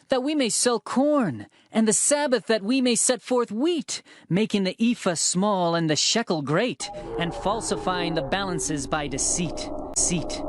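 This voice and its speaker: A man narrating dramatized scripture in a solemn, declamatory way with measured phrasing. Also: high voice. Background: music.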